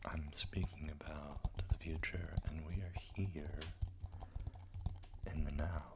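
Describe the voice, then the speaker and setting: A young adult ASMR creator, sick with a sore throat, whispering slowly in a deep, raspy voice into a close microphone at home. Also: steady tone, heavy background noise. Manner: soft, slow, breathy whispering. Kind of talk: slow whispered ASMR chat.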